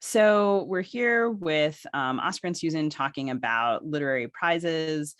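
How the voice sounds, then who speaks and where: A woman's voice: sits low, unhurried, no room sound, studio quality. A woman co-hosting a podcast, framing long, careful questions for her guests. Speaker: podcast co-host.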